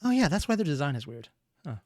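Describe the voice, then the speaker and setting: A man's voice: on the high side, big pitch swings, fast talker. A man hosting a reaction video, talking casually in a rambling, analytical way.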